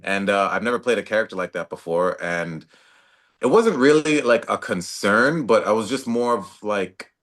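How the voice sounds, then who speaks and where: A young actor with a very low voice talks easily about his work. He sounds laid-back and casual, with plenty of fillers and restarts. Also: expressive, normal speed, a bit bright. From Toronto, Canada. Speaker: actor guest. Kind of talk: casual interview talk.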